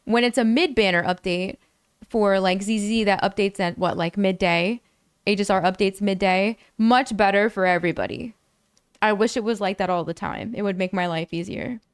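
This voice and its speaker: A young woman streaming games, chatting casually with viewers and breaking into excited thanks for donations, her voice a little worn from talking for hours. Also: moderate pace, clean tone, no echo, clean studio sound. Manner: chatty, bursts into high excited squeals thanking donors. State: voice a bit lower and worn from long talking. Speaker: gaming livestreamer. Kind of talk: casual livestream chat to viewers.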